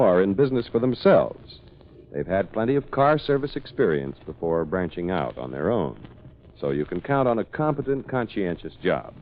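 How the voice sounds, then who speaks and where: Animated American male vintage radio announcer. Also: sits low. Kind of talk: 1940s radio announcing, scripted. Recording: muffled old radio broadcast recording.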